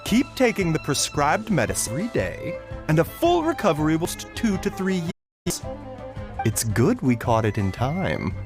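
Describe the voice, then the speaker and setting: An actor playing a reassuring, thorough physician in a game, speaking politely and precisely. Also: male voice, fairly high pitch, wildly swooping pitch, normal speed. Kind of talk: game voice acting, explanatory dialogue. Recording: background music under the voice.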